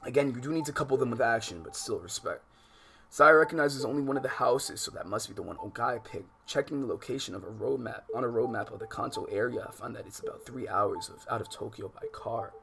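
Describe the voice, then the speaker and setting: A man's voice: mid-range voice, fast, creaky, fairly bright tone. A man livestreaming a visual novel, mixing casual, slangy, swearing chat with reading game text aloud, often exasperated. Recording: music behind the voice. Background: game music.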